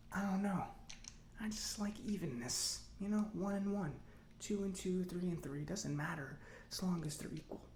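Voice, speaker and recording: A man playing a chatty, easygoing robot mechanic in an ASMR roleplay, speaking softly in a breathy half-whisper with a rambling, conversational manner. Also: on the high side, slight background noise, close to an everyday voice. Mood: fondly amused. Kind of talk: soft-spoken ASMR roleplay.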